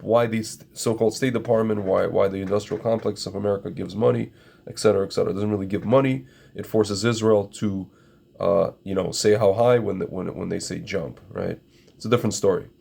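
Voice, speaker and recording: A man's voice: fairly low pitch, gravelly, darkish tone, slight background noise. A middle-aged man from Ukraine, a native Russian speaker, giving an opinionated monologue to his viewers, emphatic and repetitive, often calling them "my friends". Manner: emphatic, repeats phrases, addresses viewers as friends. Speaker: commentator.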